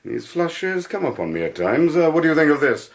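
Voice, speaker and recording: Pompous British police inspector, obtuse, smug, stammering bluster, very varied pitch, Victorian radio drama. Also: male voice, very animated, brisk, creaky.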